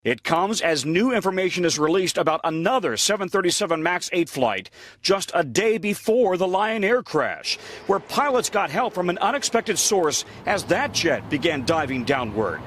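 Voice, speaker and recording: A man's voice: sits high, big pitch swings, moderate pace, a bit bright. An American man working as a news correspondent, giving an emphatic, dramatic broadcast report.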